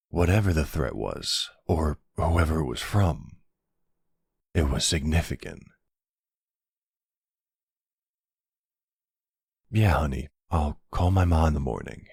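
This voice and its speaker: A man playing a protective mobster boyfriend in an ASMR roleplay audio drama, tender but dangerous, with a gravelly, husky voice. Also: a bass voice, no echo, studio quality, full character voice. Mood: calm, reassuring.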